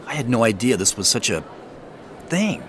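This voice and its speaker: A man's voice: medium pitch, very animated, normal speed, noisy background, natural voice. An actor playing a hesitant, skeptical man who asks questions in an understated way, in audio drama dialogue. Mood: puzzled, curious. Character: hesitant, skeptical man, a reluctant newcomer tagging along.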